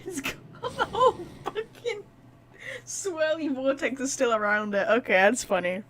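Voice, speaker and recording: Higher-voiced woman streamer, blunt and sweary. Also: big pitch swings, moderate pace, fairly bright tone. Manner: informal, sweary, playfully blunt. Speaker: game streamer. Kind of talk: casual live gaming reaction chat.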